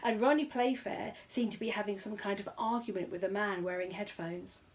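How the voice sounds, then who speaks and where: Female storyteller for children's fiction: scripted read-aloud narration, mid-pitched voice. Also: steady tone, brisk.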